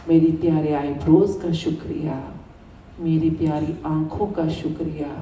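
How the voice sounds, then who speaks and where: A woman with an Indian accent leading a guided gratitude meditation, speaking soothing affirmations in deliberate, repeated phrases with pauses. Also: deep voice, warm tone. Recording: roomy echo, distant mic, faint noise.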